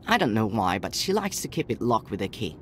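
A man's voice: on the low side, very animated, brisk, slight background noise. A man playing an earnest, caring young country boy and protective older brother, narrating reflectively in a video game.

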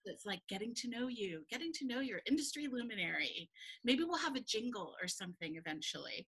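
A middle-aged woman co-hosting a casual video-call chat from home, animated and quick to laugh. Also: on the high side, husky. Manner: giggly, animated. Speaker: podcast co-host. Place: home room, indoors. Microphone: video call, shared webcam.

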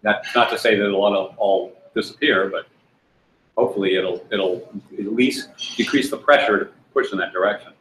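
An older man, a candidate, answering questions at a panel discussion in a restaurant room, pausing and restarting often. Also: lowish voice, fairly bright tone. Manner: halting, many restarts and fillers. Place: restaurant room with brick walls. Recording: echoey room, faint noise.

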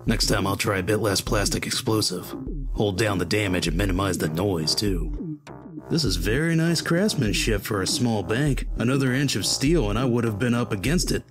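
An actor playing a cocky professional bank robber talking to himself in a comic book audio drama, with loud music underneath. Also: male voice, lowish voice, natural voice. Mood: self-satisfied.

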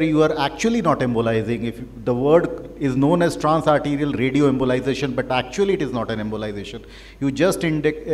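A man's voice: mid-range voice, darkish tone, big-room sound. A man with an Indian English accent explaining a procedure on a medical panel, with some fillers. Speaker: panelist radiologist. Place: conference hall.

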